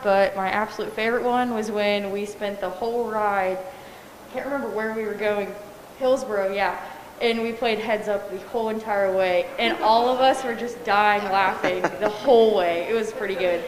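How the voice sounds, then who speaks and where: A teenage cheerleader answering casually and upbeat. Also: female voice, mid-range voice, moderate pace, a little hiss or hum. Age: teens. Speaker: cheerleader guest. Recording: echoey hall.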